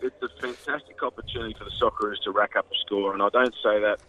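A man's voice: fairly low pitch, fairly even intonation, fast talker, sharp tone. A man with an Australian accent, a football pundit chatting on a radio show, enthusiastic and rambling with plenty of fillers. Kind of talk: radio phone-in commentary. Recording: tinny phone line.

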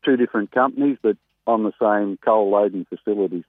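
An Australian man, a greyhound trainer, chatting casually and excitedly as a guest on a phone interview. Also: lowish voice, brisk, no echo. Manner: chatty, rambling, excitable about racing. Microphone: telephone. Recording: tinny phone line.

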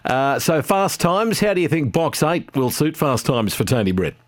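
Australian male, radio racing host, slightly high register, conversational preview delivery with a studio guest. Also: big pitch swings, moderate pace. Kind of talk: sports preview chat with a guest.

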